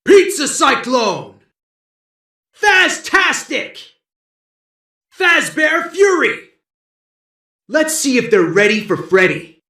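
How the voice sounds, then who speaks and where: Bright, booming, jovial robot bear showman. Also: big pitch swings, slow, long pauses, exaggerated voice. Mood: hearty, showy. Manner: hammy, hearty, booming showman. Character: big jovial male animatronic bear showman. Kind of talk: video game catchphrase acting. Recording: roomy echo.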